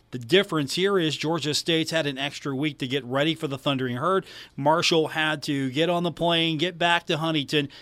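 A man's voice: a little high, a bit bright, dry recording. An American man talking as a sports radio host, delivering commentary and announcements into a close studio microphone. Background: music.

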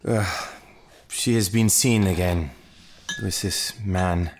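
A man's voice: low-pitched, fairly even intonation, slow pace, a little hiss or hum. A man acting in an audio drama as a jealous, anguished husband and father. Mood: troubled.

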